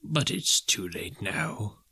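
Excitable old storybook miser, swooping pitch, bright tone, exclamatory, cracked between laughing and crying. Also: male voice, takes it slowly, husky, no echo, full character voice. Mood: pitying, subdued. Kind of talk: dramatic audiobook character reading.

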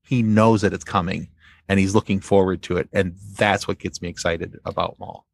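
A man as a podcast guest, chatting casually about fandom in halting phrases. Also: low-pitched, steady tone, gravelly, dry recording, studio quality. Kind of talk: casual fan discussion.

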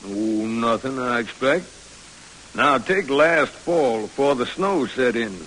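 An actor playing an easygoing, folksy American desert guide in an old radio western. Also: male voice, mid-range voice, lively intonation, takes it slowly, a bit bright, slight background noise, poor audio. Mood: musing. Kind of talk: old-time radio drama acting. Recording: old radio recording, faint music.

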